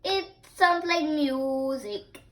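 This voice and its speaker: A six-year-old child reading picture books aloud in a halting, word-by-word way, as the host of a story time. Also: high-pitched, animated, fairly bright tone. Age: child, 6 years old.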